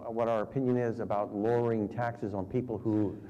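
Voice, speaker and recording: Low, mellow man in a reverberant hall. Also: steady tone, fairly quick, dull audio. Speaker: panel speaker answering audience questions. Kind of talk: informal conference Q&A talk. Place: large conference hall. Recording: reverberant hall with faint background noise.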